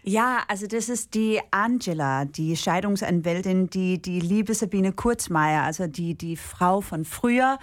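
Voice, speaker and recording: A woman from Denmark with a Danish accent, chatting as a guest on a radio talk show, lively and quick to laugh, with many small hesitations. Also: mid-range voice. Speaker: guest musician and actress. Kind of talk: radio interview chat.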